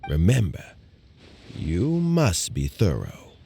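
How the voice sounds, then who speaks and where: A man voicing a wise, kindly old elder statesman in a video game, with a measured, reflective manner. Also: low voice, wildly swooping pitch, vocal fry, warm tone, slight background noise, full character voice. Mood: solemn. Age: older.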